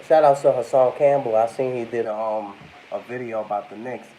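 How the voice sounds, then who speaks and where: Young man, stuffy and a bit under the weather, mellow tone, loose rambling vlog chat, faint hiss. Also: medium pitch, moderate pace, rough recording. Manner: rambling, addresses viewers as y'all. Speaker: vlogger. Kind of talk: casual talk to camera.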